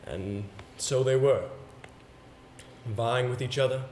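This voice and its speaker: A male stage actor playing a haunted, earnest gentleman, telling his tale with deliberate, dramatic phrasing and halting repetitions. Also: medium pitch, natural voice. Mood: wistful, uneasy. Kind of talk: theatrical stage monologue and dialogue. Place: indoor theatre stage, recorded live. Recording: echoey stage recording with background noise.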